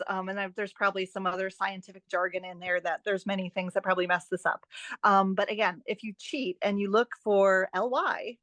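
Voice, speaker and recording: A woman's voice: mid-range voice, a bit bright, no room sound. A woman guest on an interview, an author and editing expert explaining writing tips conversationally, with an emphatic, instructive manner.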